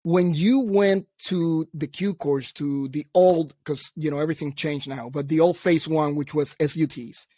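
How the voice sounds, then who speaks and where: A man's voice: fairly high pitch, fairly even intonation, moderate pace, hardly any pauses, dark tone, dry recording. A man who hosts a casual chat and leads the guest along with easygoing questions. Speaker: host.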